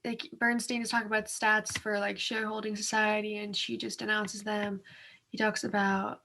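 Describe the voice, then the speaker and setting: A woman's voice: medium pitch, level tone, moderate pace, bright, forceful tone, slight background noise. A young woman co-hosting a discussion in a casual, colloquial and loosely phrased way. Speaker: co-host of a reading discussion. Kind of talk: casual chat.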